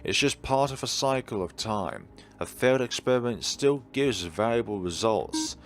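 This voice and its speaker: A man reading out visual novel dialogue as a weary, ancient godlike being, wistful and gently teasing. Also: mid-range voice, lively intonation, normal speed, natural voice. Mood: weary, resigned. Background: faint background game music.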